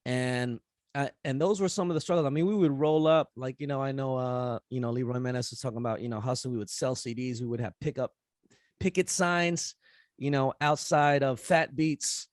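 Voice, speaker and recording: A middle-aged man talking casually at a forum, relaxed and rambling with many fillers. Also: medium pitch, moderate pace, no echo, clean studio sound. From United States. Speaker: rapper and event host speaking at a forum. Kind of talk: casual conference talk after a rap performance.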